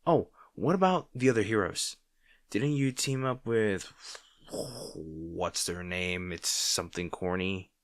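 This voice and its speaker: A man playing a snarky supervillain rival who secretly cares, in a dramatic roleplay monologue, teasing and sardonic, halting when flustered. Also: low voice, dry recording, clean studio sound. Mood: softer, concerned. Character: snarky male supervillain rival, secretly worried about his hero.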